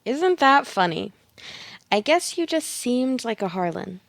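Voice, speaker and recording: A woman playing a knowing tarot reader in an audio play, speaking in a measured, deliberate manner. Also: on the high side, very animated, vocal fry, dry recording, full character voice. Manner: measured, pronouncing readings slowly.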